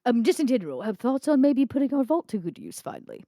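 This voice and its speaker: A woman's voice: fairly high pitch, big pitch swings, fast, warm tone, dry recording, studio quality. A woman playing a tabletop roleplaying game, tentatively thinking aloud in casual improvised chat.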